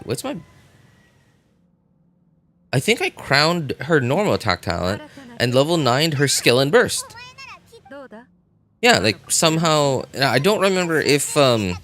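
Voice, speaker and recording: A man chatting casually as a game livestreamer, thinking aloud in a halting way and often repeating himself. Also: mid-range voice, fairly bright tone, slight background noise.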